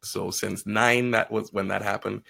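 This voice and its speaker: Low gravelly trini guy chatting on a podcast, tells stories, acts out who said what. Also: a little slow. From Guyana and Trinidad. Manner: animated, acts out quoted dialogue. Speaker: podcast guest, financial advisor. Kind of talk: casual conversational storytelling.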